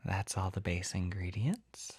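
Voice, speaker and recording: An actor voicing a shy, flustered, gentle poison-selling apothecary in a soft, breathy, hesitant whisper for an ASMR roleplay. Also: deep voice, animated, no echo, full character voice. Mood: gentle, helpful. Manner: hesitant, halting, apologetic, easily embarrassed.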